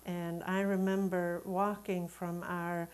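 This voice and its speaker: A middle-aged or older woman from Sweden, a native Swedish speaker, telling her story as an interview guest in a measured, deliberate, reflective way. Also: fairly low pitch, flat delivery, a little mellow, clean tone. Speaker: guest, Unitarian Universalist minister.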